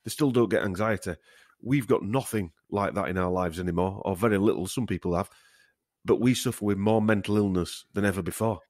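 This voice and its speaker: A man's voice: on the low side, brisk, a little mellow, no echo, studio quality. A man hosting a podcast, talking casually in a plain-spoken, agreeable way. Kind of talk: casual conversational talk.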